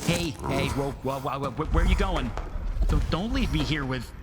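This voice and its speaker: An actor playing a nervous, wisecracking young soldier from Earth in a motion comic, flippant and stammering. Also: male voice, medium pitch, normal speed, heavy background noise, lightly acted. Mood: pleading, awkward. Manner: flippant, stammering, eager to be liked. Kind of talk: animated motion-comic acting and narration. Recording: loud music behind the voice.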